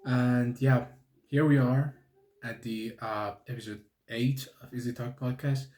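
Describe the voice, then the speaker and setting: A man, hosting a solo podcast in English. He talks in a halting way, restarts often and keeps checking in with "okay?". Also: mid-range voice, fairly even intonation, darkish tone, echoey room. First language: Persian. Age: 20s. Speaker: podcast host. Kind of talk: casual solo podcast monologue.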